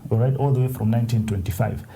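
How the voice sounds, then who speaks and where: A man co-hosting a casual panel talk show, explaining at length with frequent restarts and quick affirmations. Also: medium pitch, fairly even intonation, creaky, dark tone. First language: not Italian. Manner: halting, many restarts and affirmations. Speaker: co-host.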